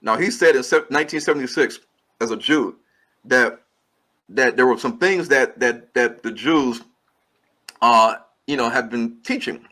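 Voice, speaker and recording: Guy filmmaker explaining stuff, keeps restarting, bright forceful tone. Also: medium pitch, a little slow. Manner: long earnest explanations with repeated restarts. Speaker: filmmaker guest. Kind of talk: interview answers.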